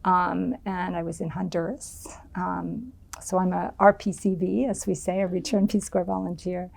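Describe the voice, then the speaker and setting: Interview subject: middle-aged woman from the US, low gravelly voice, halting and thoughtful. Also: little pitch movement, a little mellow. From United States. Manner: thoughtful, many ums and restarts. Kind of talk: oral history interview.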